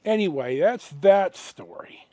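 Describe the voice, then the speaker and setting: Middle-aged man with animated, swinging pitch. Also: no room sound. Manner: rambling, halting, with dramatic pauses and emphasis. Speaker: host of a personal storytelling vlog. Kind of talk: casual, unscripted anecdotal storytelling to viewers.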